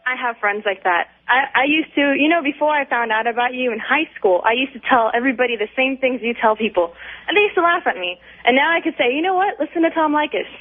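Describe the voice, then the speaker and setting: Tinny phone audio, high-pitched woman, candid caller, emphatic, rambling. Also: quick pace. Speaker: radio call-in listener. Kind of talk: casual, candid chat. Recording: tinny, narrow phone line.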